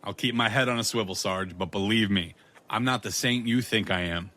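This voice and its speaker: Audio drama detective male actor: laconic, sardonic ex-Marine, forceful lowish voice. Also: brisk. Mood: wry, resigned. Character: wry, guarded young ex-Marine detective, quick with retorts.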